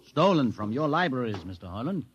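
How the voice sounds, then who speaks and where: An actor playing a knowing, commanding outcast leader aboard a starship, theatrical and sardonic, in an old-time radio science fiction drama. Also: male voice, medium pitch, big pitch swings, dull audio, a little hiss or hum, close to an everyday voice. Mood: sardonic, probing. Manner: theatrical, sardonic, deliberate, probing with questions. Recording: vintage 1950s radio drama recording.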